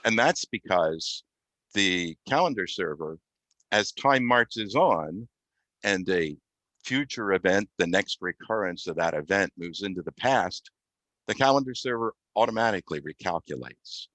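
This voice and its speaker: A man giving an unscripted software demonstration as a guest, explaining step by step with halting, mid-phrase pauses. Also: low voice, animated, no echo, low-quality recording. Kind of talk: unscripted software demo walkthrough.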